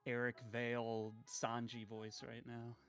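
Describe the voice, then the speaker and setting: A man calling in as a stream guest, his voice bright and forward despite a groggy, froggy morning rasp. He jokes about himself in a dry, easygoing way. Faint music sits behind him. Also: mid-range voice, completely flat delivery, unhurried. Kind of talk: casual chat.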